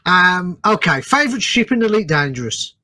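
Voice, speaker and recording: A man hosts a relaxed, conversational podcast. His voice is a touch higher than average and sounds dry, free of room echo. He speaks hesitantly and agreeably as he puts his questions. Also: normal speed. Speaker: podcast host. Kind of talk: casual interview chat.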